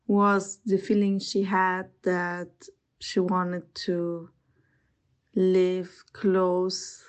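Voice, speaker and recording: A woman's voice: medium pitch, a little mellow, lo-fi sound. A woman in her twenties from Austria, speaking as a podcast guest in a thoughtful, reflective way. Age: 20s. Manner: thoughtful, measured, searching for words. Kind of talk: reflective personal monologue.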